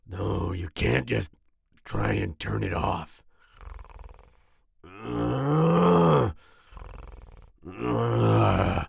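Deep gravelly werewolf boyfriend. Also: male voice, no echo, clean studio sound, exaggerated voice. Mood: reluctantly soothed. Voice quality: gravelly, growling, raspy. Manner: snarling outbursts, sighs and groans, slow brooding delivery. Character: angry growling male werewolf boyfriend, volatile yet soft-hearted. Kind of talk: ASMR roleplay audio drama.

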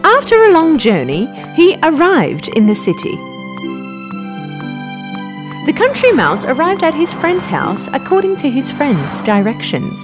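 Female narrator for kids' stories: highly expressive scripted read, music bed behind. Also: measured pace. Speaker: storyteller. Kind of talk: scripted children's story narration.

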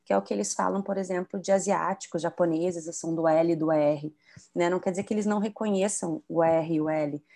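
A woman's voice: on the low side, steady tone, brisk, gravelly, warm tone. Woman from southern Brazil, explaining. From southern Brazil (Rio Grande do Sul). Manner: didactic, explanatory, frequent tag questions. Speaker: bilingualism educator and author. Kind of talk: explanatory talk on a video call.

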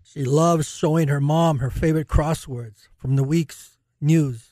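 A man's voice: fairly high pitch, steady tone, unhurried, mellow, no echo, studio quality, close to an everyday voice. Man acting a doting old grandfather. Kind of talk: absurdist radio play acting.

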